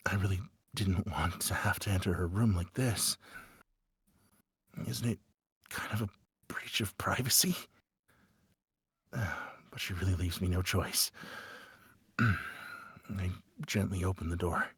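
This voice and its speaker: Man acting an emotional, stammering teenage schoolboy, slow-paced. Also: sits low, a bit bright, slightly rough, no room sound, clean studio sound, exaggerated voice. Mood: reluctant, hushed. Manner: halting, stammering, emotionally raw. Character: anguished teenage schoolboy narrator of a visual novel. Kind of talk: dramatic first-person visual novel fan dub.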